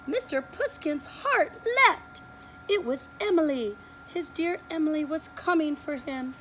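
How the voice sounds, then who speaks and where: A woman reading a picture book to small children, taking her time over each line. Her high voice rises and falls a lot as she acts out the story, with a faint hiss underneath. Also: low-quality recording. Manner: slow, expressive read-aloud, showing pictures. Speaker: preschool teacher.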